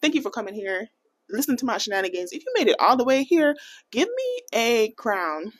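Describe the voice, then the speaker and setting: An American woman chatting casually in a budgeting vlog, animated and playful, laughing often and dropping into a Jamaican accent for bits. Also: fairly high pitch, a little fast. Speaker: budgeting vlogger.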